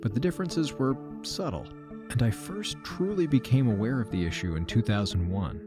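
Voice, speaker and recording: A man's voice: mid-range voice, normal speed, lightly acted. An actor playing a wry, matter-of-fact man who narrates an audio drama in dry, formally worded first person. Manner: dry, deadpan, wordy formal phrasing. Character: wry, matter-of-fact man recounting his trip between simulations. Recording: music under the voice.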